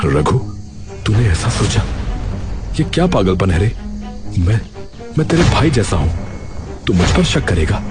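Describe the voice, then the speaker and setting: A man acts an earnest villager in a moral tale, his voice low and his delivery dramatic and colloquial. Music plays clearly behind him. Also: sing-song, unhurried, pauses often. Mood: indignant. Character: earnest adult village men, friends sharing secrets. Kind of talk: Hindi moral story voice acting.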